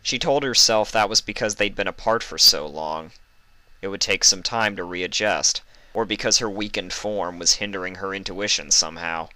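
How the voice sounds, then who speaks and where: A man narrates a written story in a very low voice. The tone is forceful and clear, with crisp articulation. He sounds close to the microphone, with hardly any room sound. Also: normal speed, no room sound. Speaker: fan fiction narrator.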